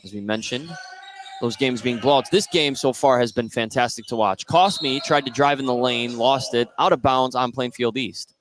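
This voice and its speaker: A man doing live basketball play-by-play as the announcer, energetic and lifting his voice on scoring plays. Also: mid-range voice, moderate pace. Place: indoor gym at basketball tournament. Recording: noisy gym ambience behind voice. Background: gym ambience.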